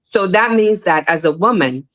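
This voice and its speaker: A woman speaking casually on a discussion panel, animated and repetitive, her pitch rising sharply when she makes a point. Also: moderate pace.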